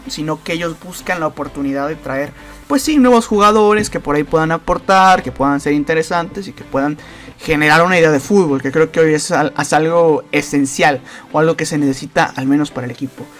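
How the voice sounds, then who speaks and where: A man's voice: fairly high pitch, a bit bright. A man giving casual soccer news commentary as a channel host, rambling with many fillers and repeated phrases. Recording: voice-over with faint background music.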